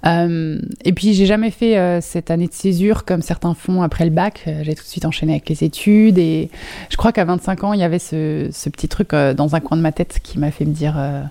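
A woman's voice: lowish voice, steady tone, fairly quick, warm tone. A young woman from Alsace recalling her life casually as an interview guest, hesitantly searching for words. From Alsace, France. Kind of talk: casual personal recollection.

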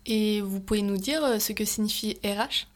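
A woman interviewer on a local program, asking clear and formal questions. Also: medium pitch, moderate pace. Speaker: radio interviewer.

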